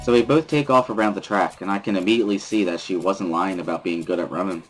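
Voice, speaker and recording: A man's voice: deep voice, fast talker, soft background music, low-quality recording. A man giving a first-person scripted narration, reading a visual novel aloud as a dramatic reading. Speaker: reader of a dramatic reading series.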